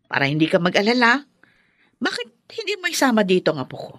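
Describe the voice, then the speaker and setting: A woman's voice: medium pitch, wildly swooping pitch, fast talker, a bit bright, no echo, clean studio sound. A woman playing a possessive, reproachful older mother-in-law and grandmother in a Filipino radio drama. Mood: insistent, scheming.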